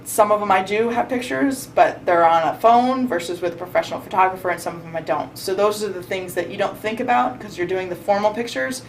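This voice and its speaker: A woman's voice: medium pitch, brisk, few pauses, slight background noise, low-quality recording. A young woman, a recent bride from New York state, giving casual interview advice in a chatty way with frequent restarts. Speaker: recently married bride, guest.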